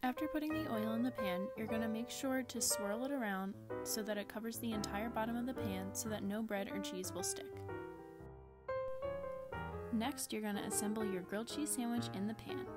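A woman's voice: a little high, moderate pace, over loud music. A woman in her twenties presenting a cooking lesson for children, narrating step by step in simple instructions. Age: 20s.

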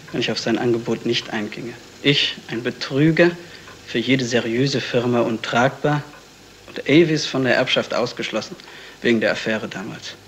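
A bitter male suspect played by an actor in a seventies radio mystery. He sounds resigned and clipped, in a medium-pitched voice. The old recording carries faint noise. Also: lightly acted. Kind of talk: 1970s German radio crime drama acting.

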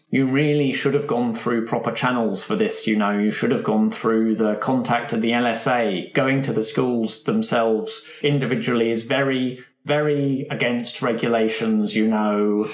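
Officious, disapproving rule-bound man for a tabletop game, played by a man, scolding, mid pitch, roomy sound. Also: steady tone, measured pace, lightly acted. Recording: roomy, echoey room sound.

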